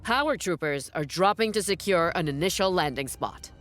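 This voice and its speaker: An actress playing a blunt, skeptical field officer in a video game, speaking in a businesslike, dry way. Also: female voice, fairly low pitch, animated, moderate pace, a bit bright, soft background music. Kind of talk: scripted video game acting.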